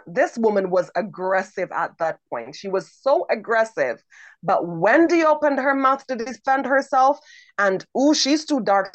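A woman's voice: mid-range voice, no room sound, dull audio. A woman co-hosting a podcast, talking emphatically with a big, strong voice and repeating words for emphasis. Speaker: podcast co-host. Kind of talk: conversational podcast commentary.

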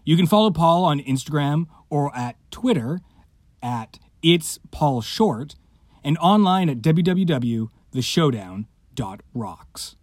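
Lively higher-voiced man hosting a podcast. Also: slow pace, no echo, clean studio sound. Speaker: podcast host. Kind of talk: scripted podcast introduction.

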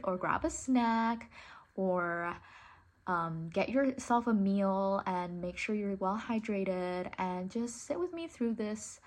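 A woman in her twenties from Hong Kong, with an international-school English accent, chatting casually and rambling as she hosts her own podcast from her living room floor. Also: medium pitch. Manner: chatty, lots of fillers and little laughs. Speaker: podcast host.

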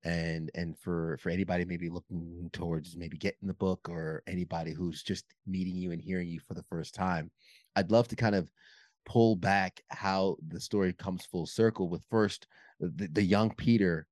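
A man's voice: sits low, fairly even intonation, normal speed, darkish tone, dry recording. A man hosting a podcast interview, talking in an earnest, upbeat way with some restarts. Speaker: podcast host.

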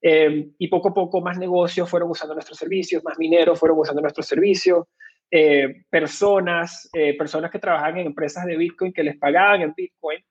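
A man's voice: fairly high pitch, fairly even intonation, brisk, husky, dull audio. A man from Venezuela with a Venezuelan accent, a company cofounder explaining things at length in an interview, with many fillers and restarts. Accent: Venezuelan Spanish. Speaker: guest, crypto company cofounder. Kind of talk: conversational interview answers.

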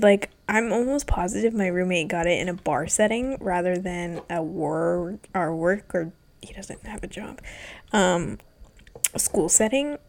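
A woman's voice: fairly low pitch, gravelly, a little mellow. A young woman in her twenties, stuffy and congested from being sick, rambling casually with lots of ums and some swearing. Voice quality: stuffy nasal. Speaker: vlogger. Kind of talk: casual personal chat.

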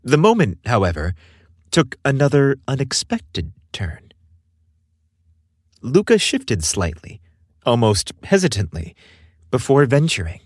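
Animated, husky male romance narrator. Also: mid-range voice, unhurried, long pauses, dry recording, studio quality. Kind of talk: expressive scripted romance narration.

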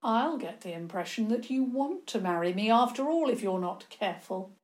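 A woman's voice: medium pitch, animated, moderate pace, natural voice. A woman voicing a cruel, arrogant young nobleman in a storybook, mocking and condescending. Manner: sneering, condescending.

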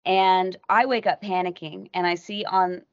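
An American woman, a podcast guest, telling a travel story in a casual, animated way that keeps breaking off and acting out what people said. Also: lowish voice, normal speed, dry recording. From United States. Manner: animated, self-interrupting, acts out quotes. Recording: dull lo-fi audio.

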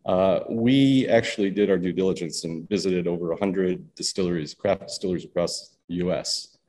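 A man who co-owns a distillery, speaking haltingly with many ums in a casual interview. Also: low voice, darkish tone. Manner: halting, full of ums and uhs. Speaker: distillery co-owner. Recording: faint background noise.

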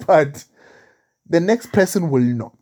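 Low, mellow woman host. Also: lively intonation, takes it slowly. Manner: warm affirmations, mixes Zulu and English, frequent fillers. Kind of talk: casual interview.

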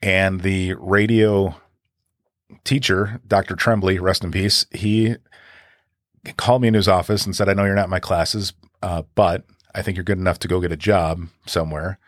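A middle-aged man from Wisconsin, telling his story in a relaxed interview with many fillers and restarts. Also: moderate pace, no echo, clean studio sound. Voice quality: deep voice. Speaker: podcast guest. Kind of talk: casual conversational interview.

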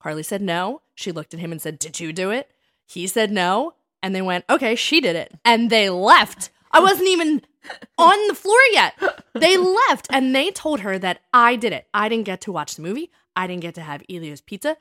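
A woman in her thirties speaks as a podcast co-host at a medium pitch. She tells personal stories in a casual, animated way. She leans on words and repeats them for emphasis. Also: normal speed, no echo, studio quality. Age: 30s.

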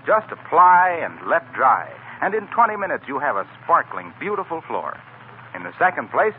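Animated high-voiced male radio announcer. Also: phone-quality sound. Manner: polished, instructive salesmanship. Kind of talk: 1940s radio advertising pitch, scripted. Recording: noisy, thin 1940s radio recording.

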